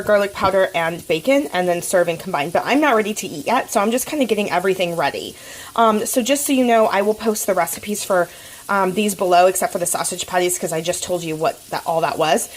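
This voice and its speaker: A woman's voice: mid-range voice, fairly quick, poor audio. A woman vlogger with a cold, chatting casually through a home cooking video with lots of ums. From Oregon. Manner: chatty, rambling with many ums. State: has a cold. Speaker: fitness vlogger. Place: home kitchen.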